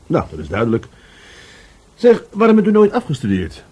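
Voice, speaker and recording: Dry, husky police adjutant. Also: male voice, fairly high pitch, huge pitch swings, moderate pace, darkish tone, exaggerated voice. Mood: wry. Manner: dry, wry, pointed questioning. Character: dry, probing male police adjutant interrogating a witness. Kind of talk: Dutch radio play acting.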